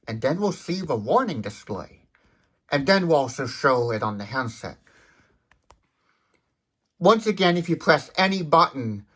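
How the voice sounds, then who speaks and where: A man's voice: on the high side, fairly bright tone, breathy edge. A man hosting a step-by-step product demonstration, explaining as he goes and correcting himself while thinking aloud. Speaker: host of a phone gadget demonstration channel.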